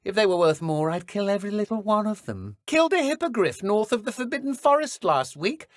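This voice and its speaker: Woman playing a sneering British dark witch, wildly swooping delivery. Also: medium pitch, big pitch swings, a little fast, no room sound, studio quality, close to an everyday voice. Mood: gloating. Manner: taunting, theatrical, condescending. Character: cruel, sneering dark witch poacher, smug and mocking. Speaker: video game voice actor. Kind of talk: villain combat and idle barks for a fantasy game.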